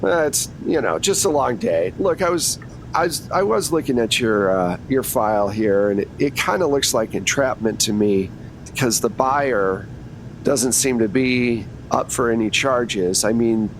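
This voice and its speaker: Man as worn-out lawyer, pragmatic, halting, medium pitch, improvised tabletop roleplay. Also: animated, poor audio. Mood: weary. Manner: hesitant, casual, pragmatic. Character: weary, frank male public defender. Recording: rumbly background noise.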